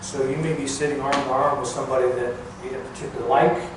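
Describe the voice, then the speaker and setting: An older man, a former air traffic controller, gives an informal talk in a plain-spoken, halting, folksy way. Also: medium pitch, little pitch movement. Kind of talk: informal public talk recounting career. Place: large echoey museum room. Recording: echoey hall, distant mic, clear background noise.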